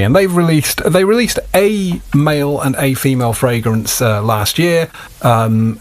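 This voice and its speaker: A man's voice: on the low side, a little mellow. A British man co-hosting a podcast, chatting casually in a rambling, anecdotal way with plenty of swearing. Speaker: podcast host.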